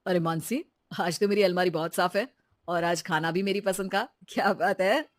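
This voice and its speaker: A woman's voice: lowish voice, fairly even intonation, moderate pace, no room sound, studio quality, natural voice. A woman voicing a young husband in a story, blunt and casual, speaking emphatically. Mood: pleased. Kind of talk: animated Hindi moral story dialogue.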